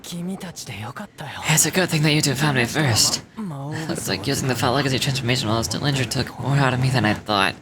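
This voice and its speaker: A woman's voice: very deep voice, normal speed, a crowd in the background. A woman voicing a cocky but weary young male warrior and protective older brother in a video game. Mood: weary, wry.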